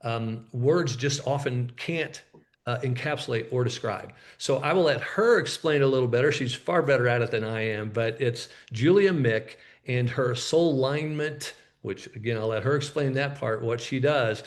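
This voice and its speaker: A man hosts a webinar and introduces his guest from an event room. He talks at an ordinary pitch for a man, in a loose, hesitant way. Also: moderate pace. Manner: hesitant, loose, with fillers and restarts. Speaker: webinar host. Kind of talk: casual introduction.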